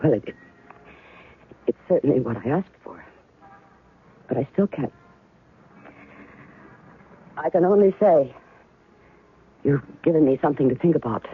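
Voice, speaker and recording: A woman's voice: low-pitched, lively intonation, measured pace, pauses often, slight background noise. An actress playing a doubting woman who questions a man claiming to be her ex-husband, in a 1970s radio drama. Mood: doubtful. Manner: doubting, probing. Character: skeptical woman testing a man's identity. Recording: tinny old radio broadcast.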